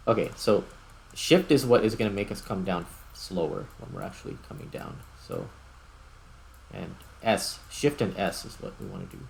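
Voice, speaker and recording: A man's voice: sits low, wildly swooping pitch, moderate pace, darkish tone. A man giving casual video game commentary as host, thinking aloud in short fragments. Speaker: gaming YouTuber, host. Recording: clear background noise.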